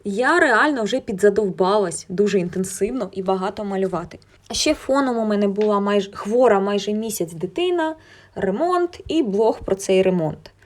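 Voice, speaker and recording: A woman's voice: mid-range voice, lively intonation, moderate pace. A young woman narrating a personal vlog in a candid, colloquial, conversational way. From Ukraine. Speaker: illustrator and art vlogger.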